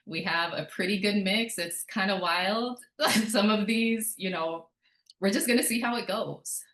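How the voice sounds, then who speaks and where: A woman hosting a conversational podcast interview, introducing things in a measured, deliberate way. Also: mid-range voice, a bit bright, roomy sound. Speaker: podcast host.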